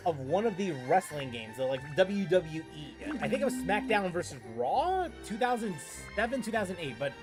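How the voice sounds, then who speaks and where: Animated high-voiced man, halting gamer chat. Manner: halting, repeats phrases for emphasis. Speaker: gaming streamer. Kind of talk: casual, rambling gameplay chat. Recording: game music behind.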